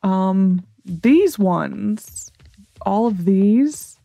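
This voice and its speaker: American gamer lady, soft mellow tone, rambling to herself while she plays, casual commentary. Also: medium pitch, expressive, drawn-out words, gravelly, dry recording. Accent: General American. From United States. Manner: rambling, thinking aloud to herself. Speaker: gaming video host.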